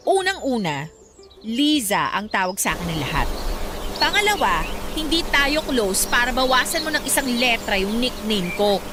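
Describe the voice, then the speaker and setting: Radio romance lead: a young woman playing hard-to-get, snappy, high and swooping, over loud music. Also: normal speed. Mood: annoyed, scolding. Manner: snappy, scolding, expressive. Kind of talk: radio romance drama acting. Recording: loud music under the dialogue.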